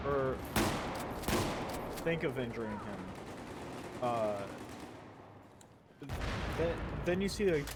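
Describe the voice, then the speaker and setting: A man casually retelling a story, halting with lots of fillers, restarts and swearing while he plays a game. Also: on the high side, very noisy. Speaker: storyteller summarizing a manga. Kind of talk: casual rambling story recap. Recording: loud video game gunfire behind voice. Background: game gunfire and explosions.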